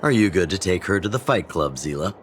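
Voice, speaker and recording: A man's voice: deep voice, expressive, a little fast, a little hiss or hum. An actor playing a hearty, fatherly, teasing middle-aged chief and mentor in a video game. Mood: amused, fatherly. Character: older male underground chief, genial, teasing mentor. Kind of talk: video game dialogue acting.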